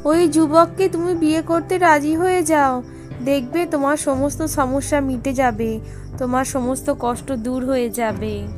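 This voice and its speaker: A tender mother from a cartoon dream scene, played by a woman, coaxes soothingly. Her voice is high, with light music behind it. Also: fairly even intonation, unhurried. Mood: coaxing. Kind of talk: cartoon fairy-tale acting.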